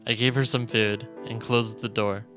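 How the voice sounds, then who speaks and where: A man with a mid-pitched voice reads visual novel text aloud for a stream. He narrates dramatically and in a measured way, like a storyteller, with music softly behind him. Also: flat delivery. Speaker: streamer reading a game aloud. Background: game music.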